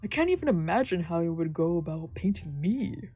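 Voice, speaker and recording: A woman's voice: fairly low pitch, big pitch swings, brisk, warm tone, slightly rough, dry recording. A woman voicing a timid, polite young man with a hesitant, earnest manner in a visual novel read-aloud. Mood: eager, impressed.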